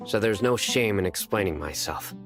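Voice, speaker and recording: A deep, dignified general and law enforcer played by a man in a fantasy video game. He speaks in a stern, deliberate, formal manner over faint music. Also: expressive, natural voice. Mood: grave.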